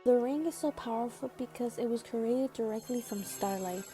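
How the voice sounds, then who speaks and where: Woman as a soft-toned, earnest teen fairy. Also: on the high side, normal speed, faint music behind, natural voice. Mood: curious, earnest. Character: earnest, curious teenage fairy schoolgirl. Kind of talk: cartoon fandub acting.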